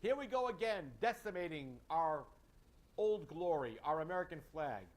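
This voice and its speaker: A man delivering local political commentary in a somewhat high voice. He sounds indignant and emphatic, and his pace keeps shifting as he drives his points home. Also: expressive, moderate pace. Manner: indignant, emphatic, uneven pacing. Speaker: co-host.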